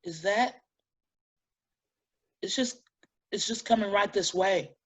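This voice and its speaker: Actress playing a husky, blunt, indignant woman. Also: mid-range voice, takes it slowly. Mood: uneasy. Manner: blunt, confrontational, emphatic. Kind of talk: naturalistic staged play reading.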